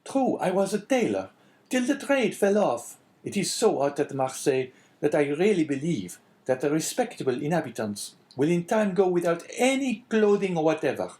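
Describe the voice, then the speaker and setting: A man's voice: fairly high pitch, very animated, moderate pace, a little mellow, pitched above the performer's own voice. A man reading an audiobook, voicing a fawning, flustered middle-aged innkeeper who is eager to please. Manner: eager, bowing and apologetic. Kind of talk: audiobook character voice.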